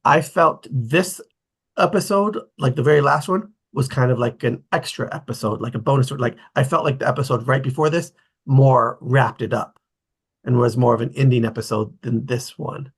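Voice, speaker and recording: A man in a casual group discussion of a TV series, explaining his thoughts in a halting way and often breaking off mid-sentence. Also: medium pitch, husky.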